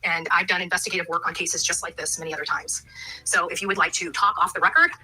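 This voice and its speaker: Sharp, husky, fast-talking woman. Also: fairly low pitch, steady tone, poor audio. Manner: assertive, self-assured. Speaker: YouTuber leaving a voicemail. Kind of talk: pointed personal message.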